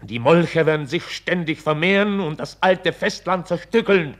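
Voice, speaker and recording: An actor playing a weary, resigned author and chronicler in a radio play, solemn and oratorical, with exasperation. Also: male voice, fairly high pitch, normal speed, fairly bright tone, natural voice. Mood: resigned lament. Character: weary, resigned author-chronicler defending himself against his conscience. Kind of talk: radio play acting, narration turning to argument.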